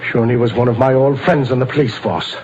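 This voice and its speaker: An actor plays a loyal, hot-tempered sidekick in an old-time radio drama. The sound is dull and lo-fi, and a clear hiss sits under the voice. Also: male voice, medium pitch, steady tone, fast, darkish tone, lightly acted. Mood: gruff. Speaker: detective's partner. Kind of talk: 1940s radio acting.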